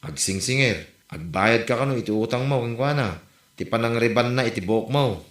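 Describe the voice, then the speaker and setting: A man's voice: medium pitch, expressive, fairly quick, fairly bright tone, close to an everyday voice. An actor with an Ilocano accent playing a plain-spoken village man in a comedy drama. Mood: scolding. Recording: roomy echo, faint music behind.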